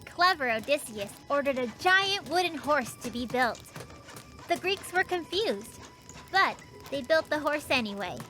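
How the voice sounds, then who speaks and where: A woman voicing a patient, knowing young girl who tells stories in an explanatory way in an animated children's series. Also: high voice, measured pace, exaggerated voice. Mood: dramatic storytelling. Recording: music behind the voice.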